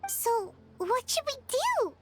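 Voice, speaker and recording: A woman voicing a tiny, excitable, childlike fairy companion in a video game, squeaky and exclamatory. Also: takes it slowly, slight background noise, exaggerated voice. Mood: concerned. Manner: exclamatory, refers to herself by name. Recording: loud background music.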